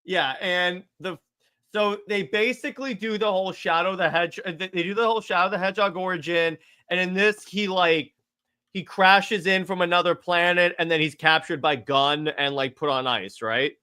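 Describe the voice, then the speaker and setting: A man hosting a casual movie discussion, talking in an excitable, emphatic way. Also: sits high, normal speed, smooth. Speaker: podcast host.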